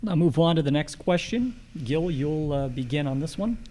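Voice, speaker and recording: A man's voice: a little high, animated, moderate pace, warm tone. A man with an American accent moderating a forum, formally putting questions and time limits to speakers.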